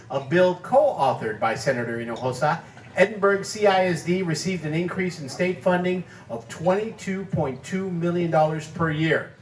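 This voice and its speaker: A man's voice: a little high, a little hiss or hum. Man reading an award citation in an echoey hall. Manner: measured reading of facts and figures. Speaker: award presenter. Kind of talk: formal prepared speech. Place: banquet hall. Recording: echoey hall, distant mic.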